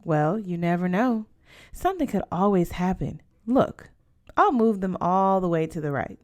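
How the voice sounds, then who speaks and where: A woman's voice: fairly low pitch, animated, moderate pace, darkish tone, natural voice. Woman reading as a chiding, devout mother. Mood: insistent. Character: protective, devout Mexican American mother, firm with her children.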